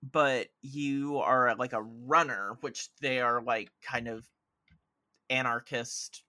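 A man's voice: mid-range voice, steady tone, slow, sharp tone, no echo. A man talking casually and enthusiastically about films and games on a podcast, in a rambling, informal way. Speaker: podcast speaker. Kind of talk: casual film and video game discussion.

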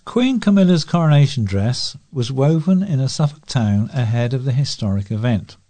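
A man's voice: mid-range voice, expressive, normal speed, warm tone. A man reading scripted local news as a volunteer newsreader.

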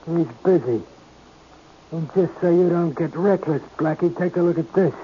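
A man's voice: a little high, normal speed, natural voice. An actor playing a menacing, obedient gangster henchman, terse and threatening, in 1940s radio style. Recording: muffled old radio recording with hiss.

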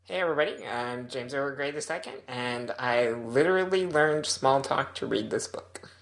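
A man's voice: medium pitch, moderate pace, fairly bright tone. A male podcast panelist works his way toward a question. He speaks casually and hesitantly, stopping and restarting as he goes.

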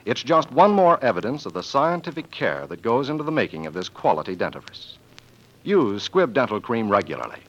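A polished, courteous man delivering scripted announcements and sponsor pitches in 1940s radio style. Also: sing-song, fairly quick, a bit bright. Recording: muffled old 1940s radio broadcast.

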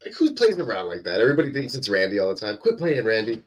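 A man's voice: fairly low pitch, sing-song, quick pace. A man co-hosting a podcast in casual banter with the others. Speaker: podcast co-host.

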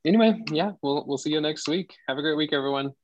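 A man leading a casual online discussion group, soft-spoken and relaxed, laughing often as he wraps up over a video call. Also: on the high side, fast talker, no room sound, dull audio.

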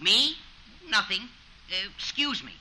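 High-voiced, brash racetrack wise guy. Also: big pitch swings, unhurried, a crowd in the background. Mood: scheming bravado. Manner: slangy, cocky. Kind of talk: 1950s radio comedy acting. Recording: noisy old 1950 radio recording.

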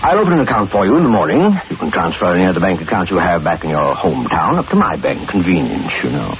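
Swooping, fussy, sinister genial employer, old radio. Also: male voice, low-pitched, big pitch swings, quick pace. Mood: smooth, businesslike. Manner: dithering, repeats himself, unctuous chuckles. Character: fussy, falsely genial middle-aged male employer with sinister intent. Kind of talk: 1940s radio horror acting. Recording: noisy, muffled old radio recording.

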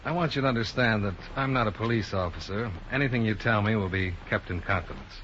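A muffled old radio recording of an actor as a hard-boiled American male investigator. His voice is lowish and sharp-edged, with terse, probing, businesslike delivery in the fifties radio drama manner. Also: quick pace, slight background noise, natural voice. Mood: probing, cool. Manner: terse, matter-of-fact questioning. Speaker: radio drama lead and narrator. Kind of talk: 1950s hard-boiled radio acting and narration.

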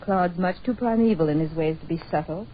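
A woman's voice: lowish voice, a little fast, natural voice. Woman acting poised Englishwoman, teasing peacemaker, 1940s radio drama, dull recording with faint noise. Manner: teasing, gently chiding. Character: poised Englishwoman, amused peacemaker between two rivals.

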